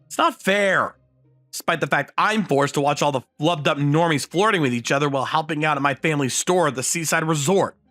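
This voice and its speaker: A man voicing an excitable, self-pitying high school boy in a comic anime-style dub, with dramatic outbursts and glum asides. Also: fairly quick, faint music behind. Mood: bitter. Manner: exasperated, dramatic outbursts and glum inner monologue.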